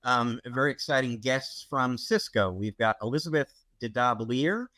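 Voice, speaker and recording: A man's voice: medium pitch, normal speed, sharp tone, dry recording. A man co-hosting a podcast, introducing guests in a casual, upbeat way with frequent ums and uhs. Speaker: podcast co-host.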